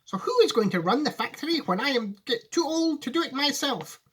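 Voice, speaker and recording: Storybook eccentric old man, played by a male reader: commanding, excitable, raised pitch, very animated. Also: a little fast. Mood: earnest. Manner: emphatic, exclamatory. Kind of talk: storybook read aloud.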